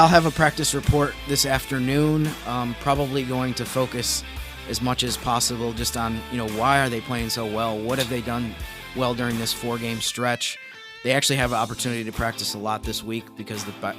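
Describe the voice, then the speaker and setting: A man co-hosting a sports podcast as a reporter, giving casual analysis in a measured, halting way. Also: medium pitch. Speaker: team reporter and podcast co-host.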